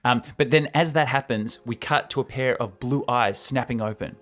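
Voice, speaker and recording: A man's voice: medium pitch, moderate pace, fairly bright tone. A man co-hosting a podcast, recapping a story in an informal, enthusiastic, easily amused way. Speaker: podcast co-host and narrator. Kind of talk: casual recap of a film script with banter.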